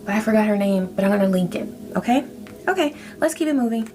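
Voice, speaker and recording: A woman's voice: mid-range voice, lively intonation, fast, music in the background. A woman giving a casual spiritual tarot reading, reassuring and often checking in with "okay?". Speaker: tarot reader.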